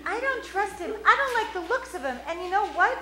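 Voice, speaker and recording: A woman's voice: extremely high pitch, sing-song, a little fast, pushed and bright, exaggerated voice. A woman acting an anxious, devoted sweetheart in a comedic radio play, plaintive and melodramatic. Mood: wary. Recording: roomy stage, faint music.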